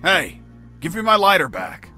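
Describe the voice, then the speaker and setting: An actor playing a gruff, wisecracking soldier in a video game, with a husky voice and deadpan delivery. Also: male voice, high-pitched, normal speed, music in the background. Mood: annoyed. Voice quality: husky, gravelly. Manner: deadpan, dry. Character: gruff male soldier recounting his prison escape, deadpan wisecracker. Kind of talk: video game voice acting, narration.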